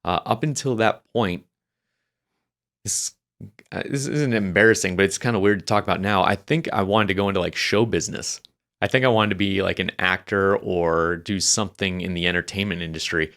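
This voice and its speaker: Young guy w a really low voice, podcast guest rambling thru his childhood, lots of restarts. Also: fairly quick, a bit bright. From New Hampshire, USA. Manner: rambling, many restarts and fillers. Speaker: podcast guest, Navy veteran. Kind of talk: casual interview storytelling.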